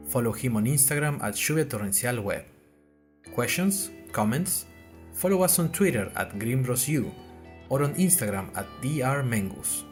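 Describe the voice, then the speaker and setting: Guy reading end credits for his podcast, lively pitch, bit of music behind. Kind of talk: scripted podcast outro.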